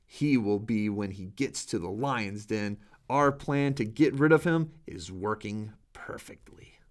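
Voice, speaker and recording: Scheming young courtier voiced by a male reader, sly flatterer, storybook villain. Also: lowish voice, a little slow, lightly acted.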